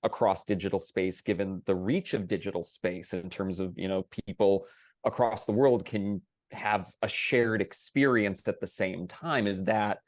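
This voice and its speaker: A man speaking earnestly as a panelist in a thoughtful discussion, sometimes halting as he builds his point. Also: deep voice, fairly even intonation, dry recording, clean studio sound.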